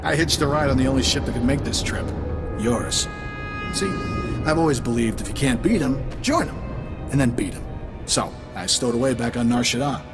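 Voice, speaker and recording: An actor playing a smug rival villain in a video game, sardonic and mock-civil. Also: male voice, medium pitch, expressive, normal speed, over music, lightly acted. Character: smug, taunting male rival smuggler villain. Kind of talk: video game character acting.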